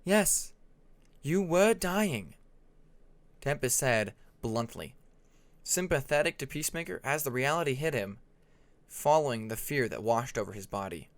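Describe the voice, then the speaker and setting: Animated man narrating fantasy fiction. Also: medium pitch, normal speed. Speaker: audiobook narrator.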